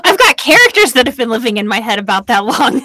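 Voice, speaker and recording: A female podcast co-host reacts in casual chat. Her mid-pitched voice swings very widely up and down, and it is recorded dry and close. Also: big pitch swings, fairly quick, bright, forceful tone, dry recording.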